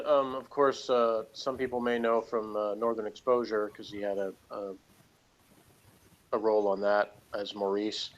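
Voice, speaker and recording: A man's voice: medium pitch, muffled recording. A man chatting casually as he reviews a TV episode, giving opinions with wry humour.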